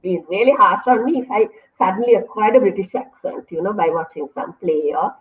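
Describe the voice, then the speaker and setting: A woman's voice: mid-range voice, a little fast. A woman with a Sri Lankan accent, a university English lecturer, explaining her views thoughtfully in an online interview. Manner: thoughtful, explanatory, self-correcting. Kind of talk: academic discussion in an online interview. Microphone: video call. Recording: phone-like online call audio.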